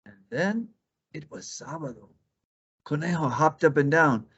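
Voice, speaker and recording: A man narrating a children's picture book aloud, expressive and deliberate. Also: on the high side, breathy edge. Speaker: storybook reader. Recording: slightly dull lo-fi recording.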